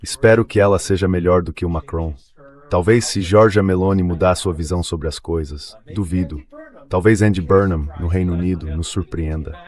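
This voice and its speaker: A man's voice: deep voice, steady tone, normal speed, darkish tone. A synthetic male voice, dubbing a political commentator in discussion.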